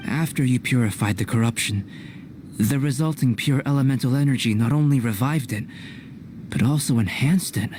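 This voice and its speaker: A man acts out a young game alchemist with a calm, detached air. He speaks formally and precisely, like a scholar at work, with clear noise behind his voice. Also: medium pitch, lightly acted. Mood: calm, analytical. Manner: measured, formal, analytical delivery. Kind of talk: scripted video game cutscene acting.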